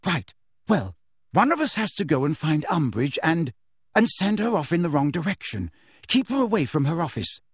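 Man as teenage witch girl, anxious, earnest, urgent, raised animated pitch, audiobook. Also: moderate pace, breathy edge, dry recording, studio quality. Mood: brisk, planning. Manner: urgent, pleading, fierce. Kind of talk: audiobook character voice.

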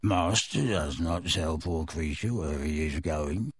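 A wheezy, croaking old house elf, gleeful and sly, drawing out each word. His voice sinks very deep and goes husky and strange. It sounds close and dry, with no room around it. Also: takes it slowly, no echo, exaggerated voice.

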